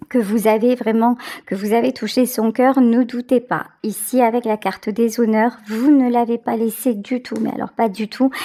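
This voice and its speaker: A woman doing an intuitive tarot reading, speaking directly to the viewer and thinking aloud with pauses as she goes. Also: fairly high pitch, warm tone. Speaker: tarot reader, channel host.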